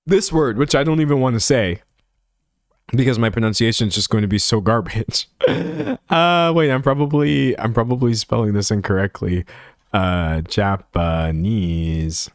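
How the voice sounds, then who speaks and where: A man's voice: fairly low pitch, a little slow, dry recording, studio quality. A young man from San Antonio with a Texan American accent, chatting casually on a livestream, reading chat comments and laughing at himself. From San Antonio, Texas. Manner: rambling, self-deprecating, laughs often. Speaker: streamer.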